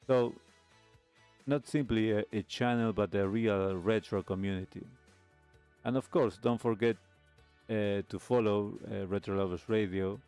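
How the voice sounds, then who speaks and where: A man hosting a live stream radio show, speaking reflectively in a measured, halting way with pauses. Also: fairly low pitch, darkish tone, clean tone. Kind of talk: reflective host talk, signing off a live stream. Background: music playing under the voice.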